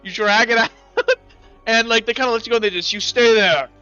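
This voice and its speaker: A man's voice: very high-pitched, fairly even intonation, quick pace, pushed and bright, clear, resonant tone, muffled recording, soft background music. A man running a tabletop game as game master, narrating off the cuff in a casual, joking, sweary way.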